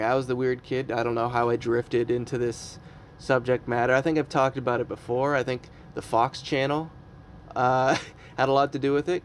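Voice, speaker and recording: A man's voice: mid-range voice, slight background noise. A man in his early thirties, a comedian, chatting casually and rambling with self-mocking humor and frequent laughs. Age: 30s. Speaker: comedian podcast host. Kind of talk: casual chat.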